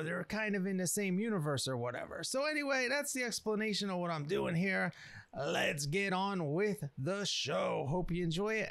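A man's voice: high-pitched, gravelly, fairly bright tone, no echo. A man speaking as a video creator, casually introducing his series to viewers.

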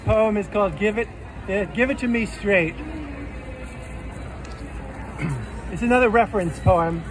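A man reading his rhymed poems aloud with a deliberate rhythm on an outdoor festival stage. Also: high voice, over music, a crowd in the background. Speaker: poet. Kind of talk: poetry reading. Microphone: stand mic on stage, filmed by phone. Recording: noisy outdoor phone recording. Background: outdoor street ambience.

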